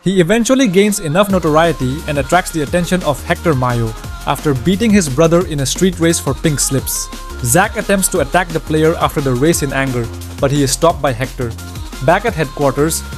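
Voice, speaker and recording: A man's voice: fairly high pitch, expressive, a little fast, faint music behind. A man reading a scripted narration that retells a video game story.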